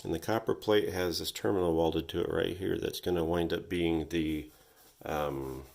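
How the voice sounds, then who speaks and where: A man casually walking through a repair at his home workbench, thinking aloud with frequent pauses and ums, recorded on a phone on a tripod. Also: sits low, steady tone, gravelly, darkish tone. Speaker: vintage electronics repair host. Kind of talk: casual workshop walkthrough. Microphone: phone camera on a tripod.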